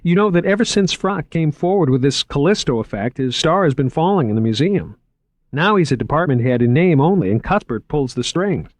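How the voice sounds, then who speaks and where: An eager young museum curator with a raised, lively voice, as a male narrator puts him on. He gushes about his work and stammers when caught off guard. The sound is dry and close. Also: on the high side, a little fast, dry recording, voice pushed up. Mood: flustered.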